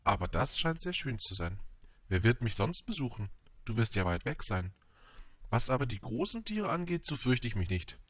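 A man voicing a proud, gentle and stubborn female flower in a storybook, tender yet vain. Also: mid-range voice, sing-song, slightly rough, no echo, pitched above the performer's own voice. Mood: brave, proud. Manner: tender yet vain, brisk when hurt.

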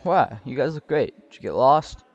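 A man's voice: mid-range voice, lively intonation, moderate pace, dry recording, natural voice. Man playing a friendly guy, dull lo-fi sound. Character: friendly, easygoing young man, helpful with kids. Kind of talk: animated web comic dub acting. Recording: slightly dull, lo-fi microphone.

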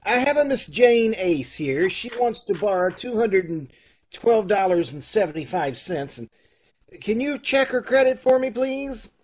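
A man acting a flustered, exasperated loan officer in an old-time radio comedy, with a husky voice. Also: sits high, lively intonation, measured pace, exaggerated voice. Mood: bewildered. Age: middle-aged. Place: indoors, on a video call. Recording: home video-call recording.

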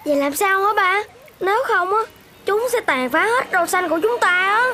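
A performer voicing a curious, outspoken young animal child with expressive cartoon delivery. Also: very high voice, moderate pace, a little hiss or hum, exaggerated voice. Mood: worried. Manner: inquisitive, expressive.

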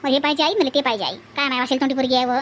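A woman's voice: sits high, very fast, natural voice. A woman voicing a bossy, chatty cartoon village aunt with a Vidarbha Marathi accent, nagging and repeating herself. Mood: fussing. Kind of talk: exaggerated comic cartoon dialogue.

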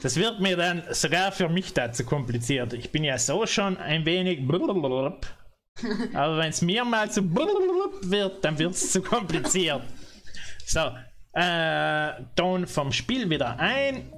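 A man's voice: a little high, big pitch swings, takes it slowly, a bit bright. A man in his thirties streaming a game indoors on a headset, rambling and joking with his viewers. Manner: rambling, joking, laughing often. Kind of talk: casual gaming livestream chat. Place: indoor room.

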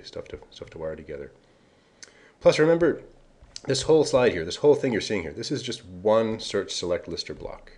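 Guy w a really deep voice doing a code walkthrough, relaxed, fixes his own slips. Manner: casual, explanatory, occasional self-corrections. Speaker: software developer presenting a tutorial. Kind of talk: screencast walkthrough.

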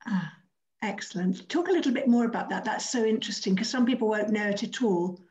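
A woman's voice: medium pitch, fairly quick, darkish tone, dull audio. A woman hosting a poetry event over a video call, chatting casually as she moderates questions. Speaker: host of an online poetry reading event. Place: indoors at home, on a group video call.

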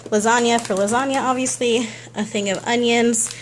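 A woman's voice: fairly high pitch, steady tone, normal speed, background noise. Chatty young woman listing groceries. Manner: chatty, listing items one after another. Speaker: vlogger. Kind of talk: casual grocery haul walkthrough. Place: home kitchen, indoors.

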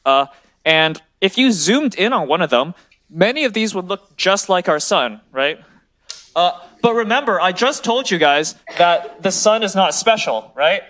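Enthusiastic young man lecturing, higher-pitched voice. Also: animated, a little slow, a bit bright. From China and the US. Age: 20s. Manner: enthusiastic, checks in with "right?". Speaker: graduate student speaker. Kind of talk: informal public science lecture. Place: large lecture hall.

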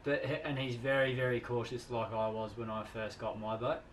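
A man's voice: medium pitch, level tone, brisk, low-quality recording. An Australian man in his thirties telling a casual anecdote in a boat cabin, acting out bits of dialogue. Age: 30s. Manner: quotes dialogue as he recounts. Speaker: sailing vlog host. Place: small boat cabin at a marina. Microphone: camera across the table. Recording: faint background noise.

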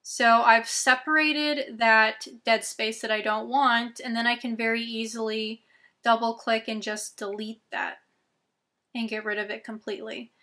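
Young woman going hoarse, bright voice, takes it real slow step by step, small bedroom. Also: a little high, level tone. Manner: slow, step-by-step instructions, chatty asides. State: losing her voice. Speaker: YouTube tutorial host. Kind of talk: screen-recorded software tutorial.

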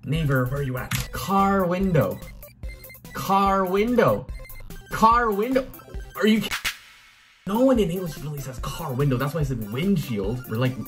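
Animated young Korean man teaching casually. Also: a little high, measured pace, long pauses, soft background music. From South Korea. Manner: animated, self-talking, exclaiming at mistakes. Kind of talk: casual commentary while playing a language app. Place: small room indoors at night.

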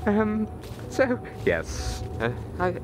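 Man, nervous stammering vlogger, voice cracks, very swoopy pitch, slow delivery, outdoor night recording. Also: wildly swooping pitch, noisy background. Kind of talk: mock-scared comedic night vlog. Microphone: handheld camera.

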